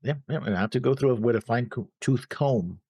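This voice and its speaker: A man's voice: medium pitch, brisk, warm tone, breathy edge, dry recording. A man chatting casually as a reaction show co-host, agreeing and repeating his words as he goes. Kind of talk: casual chat, discussing an anime episode.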